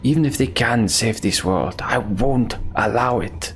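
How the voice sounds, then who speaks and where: A man's voice: medium pitch, fairly bright tone, soft background music. Man doing a cold, vengeful warrior. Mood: threatening. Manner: cold, threatening, slow.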